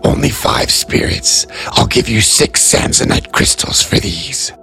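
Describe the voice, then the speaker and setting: A man's voice: fairly low pitch, very animated, unhurried, creaky, sharp tone, soft background music, full character voice. A man voicing a sly, sarcastic male spirit trader who talks in a gleeful, mock-friendly way and sometimes drops to a whisper.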